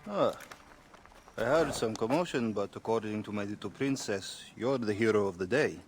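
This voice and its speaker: A man's voice: fairly low pitch, moderate pace, soft background music, close to an everyday voice. A man voicing a gracious, wealthy middle-aged father in a game cutscene, formal and fond. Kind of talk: dramatic game cutscene acting.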